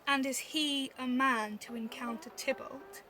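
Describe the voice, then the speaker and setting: A woman's voice: high-pitched, steady tone, a little slow, a crowd in the background. A woman playing a witty, bawdy, teasing young Mercutio in a theatrical Shakespeare audio drama, recorded on a phone at home. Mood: theatrical relish. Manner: theatrical, teasing, swooping between loud flourishes and mutters.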